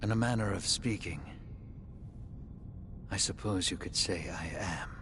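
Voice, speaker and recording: Slow, low-voiced sly monster in disguise. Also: male voice, background noise. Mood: wry amusement. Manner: theatrical, drawling, archly formal. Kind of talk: video game cutscene acting.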